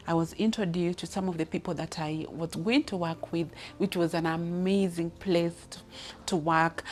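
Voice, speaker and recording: A woman's voice: on the low side, darkish tone. A Kenyan woman in her thirties speaking off the cuff about her career, with many restarts and fillers. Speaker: leadership speaker. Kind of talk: personal story, unscripted talk.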